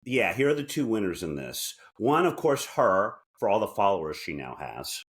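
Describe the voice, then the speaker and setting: A man chats casually as a podcast co-host, wry and joking. His voice is on the low side, but it swings widely up and down as he talks. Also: very animated, moderate pace, slightly rough. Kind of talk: casual comedic chat.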